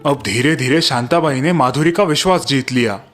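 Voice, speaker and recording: A man's voice: medium pitch, brisk, fairly bright tone, faint music behind. A man reading scripted narration for a cartoon story.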